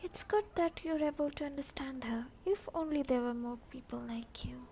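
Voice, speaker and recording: High, soft-voiced woman game streamer. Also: moderate pace. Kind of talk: live game stream, reading along and reacting. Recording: noisy stream audio with clicks.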